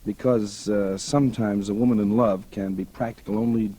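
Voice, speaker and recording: An actor playing a cocky, restless newspaper reporter and would-be crime novelist in a 1940s radio drama, talking in casual slang. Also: male voice, lowish voice, steady tone, moderate pace, over music, close to an everyday voice. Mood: reflective, narrating. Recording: old 1940s radio recording.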